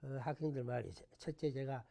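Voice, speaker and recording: An older man from the Gyeongsang region with a Gyeongsang accent, a guest telling stories about himself in a relaxed talk-show interview, with chuckles and self-deprecating humor. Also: medium pitch, a little fast, creaky. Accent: Gyeongsang Korean. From Gyeongsang province, Korea. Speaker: guest, former education official.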